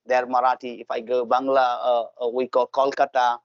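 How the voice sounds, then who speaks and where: A man's voice: mid-range voice, little pitch movement, normal speed, clear, resonant tone, dry recording. A man from Gujarat with an Indian English accent, his first language Gujarati, chatting earnestly and repeating phrases for emphasis. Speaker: host, English learner. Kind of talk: casual conversation. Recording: dull lo-fi, dry audio.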